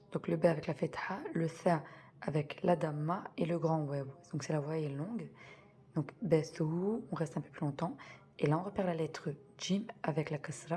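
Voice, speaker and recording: Low, mellow-voiced woman teaching slowly. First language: French. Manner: slow deliberate spelling-out of sounds, repeats key words. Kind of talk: patient step-by-step reading lesson.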